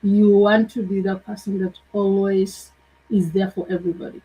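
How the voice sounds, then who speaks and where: A woman's voice: medium pitch, flat delivery, normal speed, mellow, husky, low-quality recording. A woman hosting a conversation, asking thoughtful questions and affirming her guest. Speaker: podcast host. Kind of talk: interview conversation.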